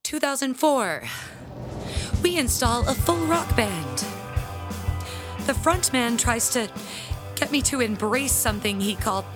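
An actress playing a proud, aggrieved, self-important middle-aged church bell ringer in an audio drama, speaking in a theatrical, haughty, deliberate way. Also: female voice, on the high side, expressive, music in the background. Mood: aggrieved, indignant.

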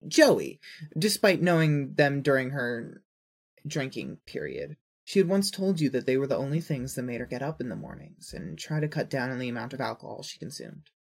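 A narrator reading a scripted second-person story with a dramatic, expressive delivery. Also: medium pitch, breathy edge.

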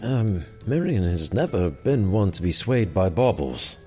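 An actor playing an uneasy medieval sheriff in an audio drama, hesitant, dry and understated. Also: male voice, low voice, big pitch swings, unhurried, darkish tone, soft background music.

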